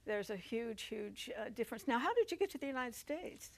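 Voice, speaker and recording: Older woman, talk show host, animated, leading questions, lapel mic, studio. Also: fairly high pitch, fairly quick. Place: TV studio set with living room decor.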